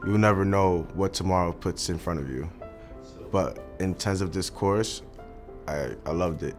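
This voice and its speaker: A man speaking as a student in an interview testimonial, reflective and unhurried. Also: low voice. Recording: soft music underneath. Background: background music.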